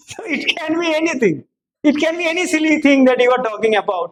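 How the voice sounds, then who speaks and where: A man's voice: extremely high pitch, a little hiss or hum. A man with a Bengali Indian English accent lecturing a class as a speaking teacher, emphatic, with rhetorical questions and repetition, switching between two languages.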